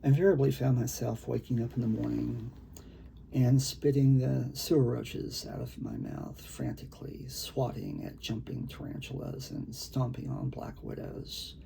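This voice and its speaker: A man with a gravelly, creaky voice, a poet talking casually and reading his own work in a halting, conversational way. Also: mid-range voice, animated, warm tone, a little hiss or hum, rough recording. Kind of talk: casual talk and poetry reading.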